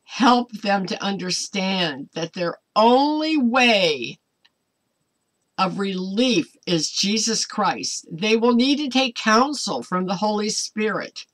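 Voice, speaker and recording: An American woman in her seventies preaching informally to camera at home, earnest and exhortatory in a deliberate way. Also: mid-range voice, lively intonation, a bit bright. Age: 70s. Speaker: online ministry preacher. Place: small cluttered home room, indoors.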